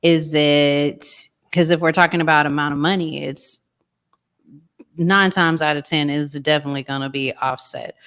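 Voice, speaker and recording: Female panelist, very low pitch, analytical, matter-of-fact, casual conversational delivery. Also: a bit bright, clear, resonant tone. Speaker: podcast panelist. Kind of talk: casual opinion.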